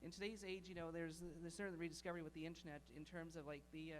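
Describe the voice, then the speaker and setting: A man's voice: fairly high pitch, level tone, racing, slight background noise. A man from the audience asking a long, hesitant question full of fillers.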